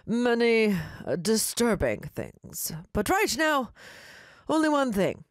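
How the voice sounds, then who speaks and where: An older mafia boss, icy and unhurried, in a storybook reading, as a woman puts on his voice. The mobster accent wobbles toward Russian, and the pitch swings widely. The recording is close and dry. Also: big pitch swings, no room sound. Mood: menacing. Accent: attempted Italian mobster, drifting Russian. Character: menacing older male mafia don.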